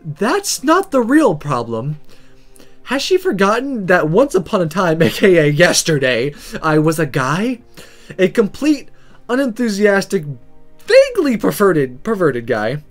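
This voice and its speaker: A man's voice: sits high, huge pitch swings, unhurried, faint music behind, full character voice. A man voicing a flustered, sarcastic former guy now in a girl's body, in a visual novel, with a hesitant, embarrassed, wry delivery. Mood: shocked, indignant.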